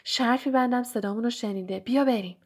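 A woman voicing an excitable young schoolboy wizard, raising her voice higher and louder than her narration. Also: natural voice. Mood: urgent.

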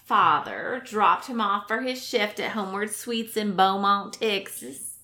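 A woman with a bright, crisp-edged voice, telling a story on a casual podcast. She keeps it lively and teases what comes next with questions. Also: mid-range voice, unhurried.

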